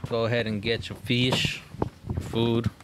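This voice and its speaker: A man's voice: medium pitch, level tone, unhurried. A man hosting a podcast outdoors in casual, joking banter full of slang. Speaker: podcast host. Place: outdoor backyard. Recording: noisy outdoor podcast recording.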